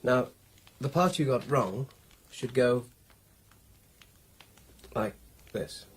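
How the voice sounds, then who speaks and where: Self-assured British gentleman, sceptical, pompous, precise, rasping husky voice, radio play. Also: medium pitch, expressive, very slow, full character voice. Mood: instructive. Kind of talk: British radio drama acting.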